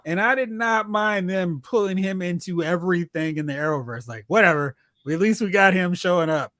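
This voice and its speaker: A man hosting a casual chat about TV and film, telling stories in an animated, exclamatory way. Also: sits high, fairly quick, no echo, clean studio sound. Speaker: podcast host.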